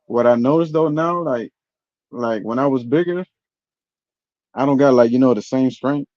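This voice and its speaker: A man's voice: medium pitch, moderate pace, a little mellow, dry recording. A man in his forties chatting casually and laid-back, saying "bro" a lot. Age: 40s. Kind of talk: casual chat. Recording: dull lo-fi sound.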